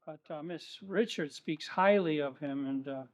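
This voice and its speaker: A man playing a courteous, formal high school assistant principal in a stage play, a little hesitant. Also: moderate pace, lightly acted.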